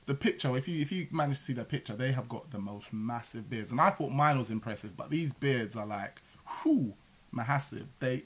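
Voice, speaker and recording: A young man co-hosting a casual religious commentary chat, playful and jokey as he tells a story. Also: medium pitch. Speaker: co-host.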